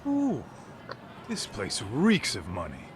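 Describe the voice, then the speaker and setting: A man's voice: on the low side, wildly swooping pitch, slow pace, slight background noise, exaggerated voice. A man playing a breezy, cocky sidekick in a video game, slangy and teasing with his pals. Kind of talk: video game cutscene acting.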